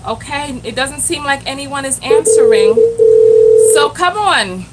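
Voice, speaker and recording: A woman's voice: high voice, measured pace, heavy background noise. A woman hosting a live stream as a self-love coach, chatting animatedly and talking straight to her viewers. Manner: animated, addresses the chat directly, exclaims "Lord have mercy".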